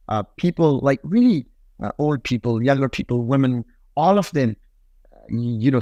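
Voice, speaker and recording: A man's voice: medium pitch, darkish tone, no room sound. A man from Nepal with a Nepali accent, a company head telling his story as a podcast guest, with lots of fillers and a mix of English and Nepali. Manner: rapid, many fillers, switches between English and Nepali.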